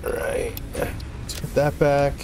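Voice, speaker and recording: A man chatting casually with a friend during a co-op building game, relaxed and quick to laugh. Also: noisy background. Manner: laid-back, laughs often, talks to game objects. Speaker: co-op gameplay player. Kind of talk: casual gaming chat.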